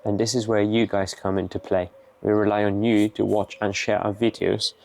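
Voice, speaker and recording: A young man with a Bulgarian accent, casually explaining as he cooks outdoors in a garden, relaxed and matter-of-fact. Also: on the low side, moderate pace, vocal fry. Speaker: vlogger. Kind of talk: casual explaining while cooking.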